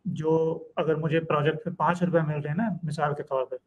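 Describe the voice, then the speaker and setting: Man, a bit high-voiced, muffled recording; animated interview guest. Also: a little fast. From Pakistan. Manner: animated storyteller, acts out clients and students in quotes. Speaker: guest, freelance copywriting trainer. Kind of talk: conversational interview.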